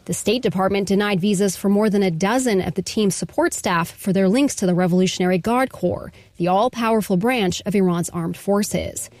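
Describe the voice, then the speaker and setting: A woman's voice: mid-range voice, normal speed, few pauses, a little mellow, no echo. A woman with an American accent, reading a scripted radio news report as the reporter.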